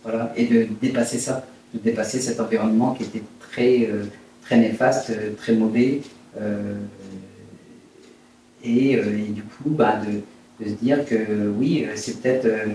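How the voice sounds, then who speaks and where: Echoey, mellow man in his fifties. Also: mid-range voice, fairly even intonation. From Brittany, France. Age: 50s. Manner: hesitant, halting, reflective. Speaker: interviewed entrepreneur. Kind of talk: personal life-story interview. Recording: very echoey room, distant mic, faint noise.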